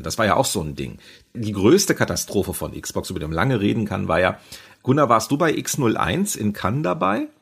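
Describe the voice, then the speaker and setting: Husky low-voiced man recounting at length. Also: fairly bright tone. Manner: anecdotal, rambling explanations. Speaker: podcast guest, former industry insider. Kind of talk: casual podcast discussion.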